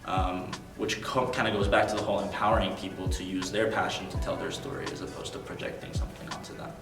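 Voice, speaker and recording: Young male interviewee, earnest and reflective, fillers, low-set voice, recorded at a distance. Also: level tone, fast talker, creaky, echoey room, music in the background. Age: young adult. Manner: earnest, thoughtful, many ums and likes. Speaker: interview guest.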